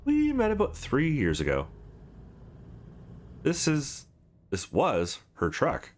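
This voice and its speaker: Man voicing a scrappy streetwise young woman, lively. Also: a little high, slow pace, voice pushed up, heavily acted. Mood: matter-of-fact.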